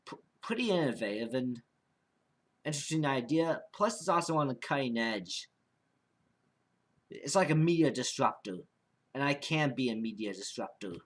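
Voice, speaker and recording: A man's voice: medium pitch, animated, normal speed, long pauses. A young man giving a casual, unscripted vlog monologue as an amateur podcast creator, rambling and often repeating and restarting his phrases.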